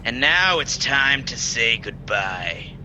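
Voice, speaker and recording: A man's voice: medium pitch, big pitch swings, slow. A man playing a ruthless, threatening older street thug in a radio play. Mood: menacing. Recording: noisy home video-call recording.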